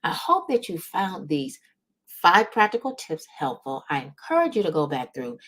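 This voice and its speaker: A woman's voice: low-pitched, very animated, normal speed, a bit bright. A woman host speaking as a business coach in an encouraging, emphatic way, often checking in with the listener. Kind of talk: motivational coaching talk to camera.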